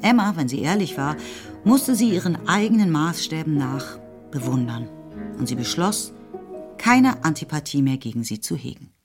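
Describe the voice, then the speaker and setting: Woman narrator, lowish voice, calm and precise, music underneath. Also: normal speed. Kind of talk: scripted literary narration.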